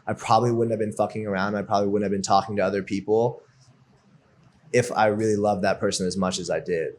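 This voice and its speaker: Deep-voiced man, reflective guest. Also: fairly even intonation, fast talker, a little hiss or hum. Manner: open, reflective, peppered with "like". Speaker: interview guest. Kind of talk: casual interview chat.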